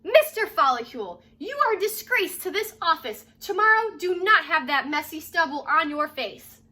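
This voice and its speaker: A woman voicing a stern, scornful male office boss in a children's storybook, loud and emphatic. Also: very high voice, sing-song, moderate pace, exaggerated voice. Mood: scolding.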